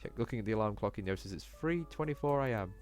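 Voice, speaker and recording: A man with a fairly low voice narrates a visual novel as he plays it. He reads the text aloud with the odd stumble, and faint game music runs underneath. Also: expressive, rapid-fire. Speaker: let's player reading a game aloud.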